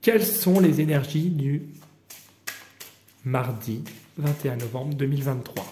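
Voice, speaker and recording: A man's voice: mid-range voice, a little slow, warm tone, a little hiss or hum. A man from the Paris region doing a casual, improvised card reading. He rambles in a familiar way and talks straight to his viewer. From Paris region, France. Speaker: card reader hosting daily guidance videos. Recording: roomy echoey room.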